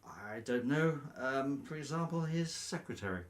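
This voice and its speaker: A man plays a nosy, officious vicar in a radio comedy. His medium-pitched voice swoops with lively, animated intonation. He is briskly polite, with a breezy air of authority. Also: close to an everyday voice. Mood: smoothly patronising. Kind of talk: radio play comedy acting.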